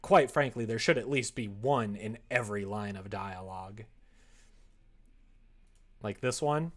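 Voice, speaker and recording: A man's voice: on the low side, a little slow. A man live-streaming casual, playful chat as he writes and codes, sometimes mumbling to himself. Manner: playful, joking asides. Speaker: live streamer making a visual novel. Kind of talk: casual chat while writing and coding.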